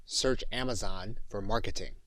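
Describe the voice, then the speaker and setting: A man giving deliberate, instructional narration as the instructor in a software tutorial. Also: on the low side, no room sound.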